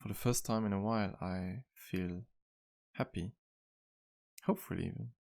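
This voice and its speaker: Male actor as gentle vampire bartender, low gravelly voice, soft-spoken, earnest, wistful, flirtatious, intimate ASMR roleplay. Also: moderate pace. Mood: warm, sincere. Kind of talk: ASMR roleplay, intimate one-sided dialogue to listener.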